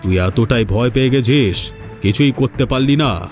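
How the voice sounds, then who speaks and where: A man acting as an overbearing village moneylender in a cartoon folk tale. His mid-pitched voice is domineering and full of menace, with soft music underneath. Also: normal speed, natural voice. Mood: outraged. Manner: domineering, threatening. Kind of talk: Bengali animated folk-tale dialogue.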